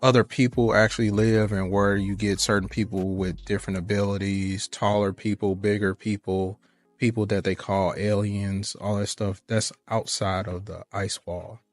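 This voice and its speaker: A man with a deep, low voice speaks casually over faint background music. His way of talking is informal and earnest, setting out his views matter-of-factly. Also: flat delivery, normal speed. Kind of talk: casual chat.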